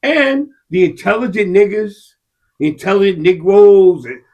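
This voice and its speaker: A man in heated casual discussion, impassioned and profane, his voice rising to shouts. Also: high voice, animated, moderate pace, dull audio. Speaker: podcast co-host.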